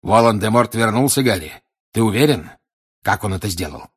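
A man acts out a grim older male wizard for an audiobook. The voice is low and husky, with deliberate, probing questions and an insistent menace. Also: animated. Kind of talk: audiobook character acting.

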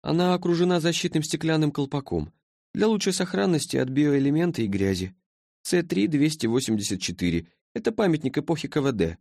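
A man's voice: on the high side, sing-song, fairly quick, creaky, darkish tone, no room sound, studio quality, voice pushed up. A man voicing a languid, sleepy female being from the far future in an audiobook, with a listless, clinical drawl. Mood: detached, curious.

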